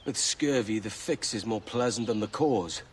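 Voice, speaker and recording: A man's voice: lowish voice, normal speed, a little hiss or hum, natural voice. A man playing a roguish, sceptical pirate captain in a video game, with a wry, blunt way of talking. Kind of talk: video game cutscene dialogue. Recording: music behind the voice.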